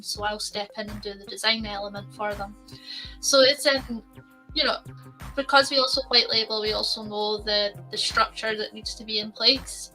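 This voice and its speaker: A Scottish woman co-hosting a casual business podcast, chatty and quick to laugh. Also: medium pitch, bright, forceful tone, low-quality recording. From Scotland. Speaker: co-host, designer.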